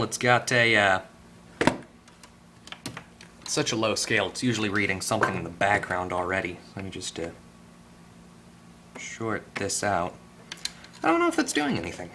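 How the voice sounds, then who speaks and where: A man giving a casual hands-on electronics repair tutorial, tentative and thinking out loud as he goes. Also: on the low side, background noise. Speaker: repair video host. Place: at a workbench indoors.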